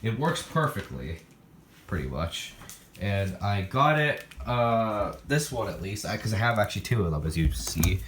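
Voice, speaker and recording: A man with a deep voice talks through a casual, unscripted demonstration. He rambles and thinks aloud as he handles his gear. The room is echoey, and loud noise runs behind his voice. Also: big pitch swings, unhurried. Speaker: hobbyist showing a weather radio collection. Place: indoor room, recorded while handling radios.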